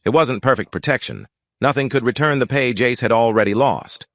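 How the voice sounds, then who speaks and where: A man giving scripted first-person story narration in an even, reflective way. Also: medium pitch, brisk, dry recording.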